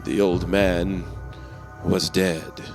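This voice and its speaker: Slow, intense man reading gothic horror. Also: low voice, flat delivery. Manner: intense, deliberate, emphatic, with dramatic pauses and swells. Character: nervous, obsessive madman confessing a murder. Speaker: dramatic reader. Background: background music.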